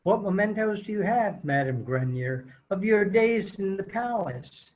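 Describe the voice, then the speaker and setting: Old-time radio host, played by a man: courteous, formal announcing, slightly high voice. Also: expressive, unhurried. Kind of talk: 1930s radio announcing, amateur table read.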